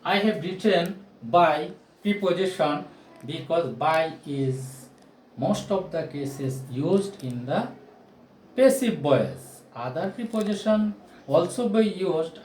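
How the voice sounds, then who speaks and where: A man in his forties, a non-native English speaker, teaching grammar at a whiteboard in a deliberate, projected way, repeating key phrases and asking rhetorical questions. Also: fairly high pitch, darkish tone, slight background noise. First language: not English. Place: small indoor room with bare walls, at a whiteboard. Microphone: camera or phone mic a few steps away. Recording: roomy echo, distant camera microphone.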